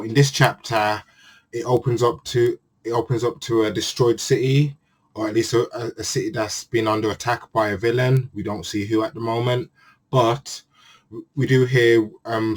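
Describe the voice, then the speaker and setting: A man's voice: mid-range voice, little pitch movement, normal speed. A man hosting his own channel, reviewing a manga chapter in a chatty, earnest way and often restarting his phrases. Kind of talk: casual spoken review monologue.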